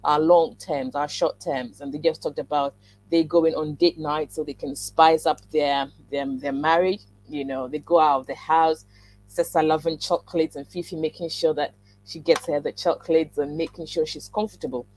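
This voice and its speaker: A woman's voice: low voice, fairly even intonation, normal speed, darkish tone, slight background noise, low-quality recording. A woman hosting a relationship talk show, interviewing a married couple and giving reflective marriage advice. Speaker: host. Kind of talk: interview chat.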